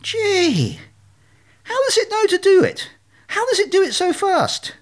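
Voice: very high-pitched, wildly swooping pitch, unhurried, pitched above the performer's own voice, heavily acted. A performer voicing a naive, dim young swan who believes he is an ugly duckling, self-pitying and childlike in a storybook tale. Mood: naive wonder.

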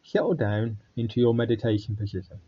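A man guiding a body scan meditation in a calm, unhurried way with long pauses. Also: mid-range voice, breathy edge, dull audio. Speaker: meditation guide.

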